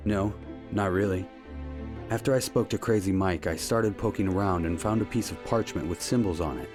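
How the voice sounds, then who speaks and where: An actor playing a polite, earnest amateur investigator in an adventure game, speaking in a courteous, measured way. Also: male voice, deep voice, lightly acted. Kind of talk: scripted point-and-click game dialogue. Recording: music under the dialogue. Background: background music.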